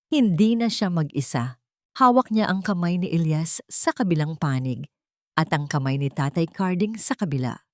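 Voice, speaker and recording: Female narrator for dramatic audio fiction: lower register, solemn and sentimental, dry studio-like sound. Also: darkish tone, no echo. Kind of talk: scripted dramatic story narration.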